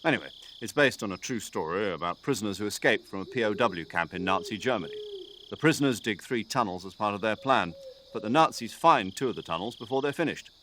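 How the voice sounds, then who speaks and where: A man voicing an older military commander in a video game, precise and drily good-humoured, articulate and measured as he briefs an agent. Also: lowish voice, lively intonation, fairly bright tone, slight background noise. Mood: wry, chatty. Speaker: mission commander giving a radio briefing.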